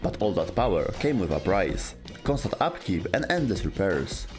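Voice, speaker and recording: A man narrates a scripted video game lore explainer. His voice sits fairly low, and there is quiet music underneath. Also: very animated, normal speed.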